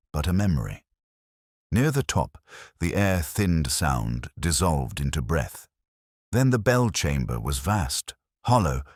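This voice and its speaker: A man playing a brooding, philosophical police inspector who narrates his memories solemnly, in measured literary phrases, as in a scripted detective audio drama. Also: very deep voice, dry recording, clean studio sound, heavily acted. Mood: pensive, grave.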